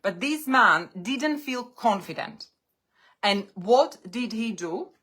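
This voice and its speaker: A woman coaching English learners on a live stream. English is her second language, and she speaks deliberately in short phrases with pauses. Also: a little high, expressive, fairly bright tone. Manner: non-native English speaker.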